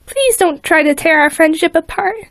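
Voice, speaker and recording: A woman voicing a kind, earnest little girl pony who pleads in a high, sweet voice. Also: animated, normal speed, no echo.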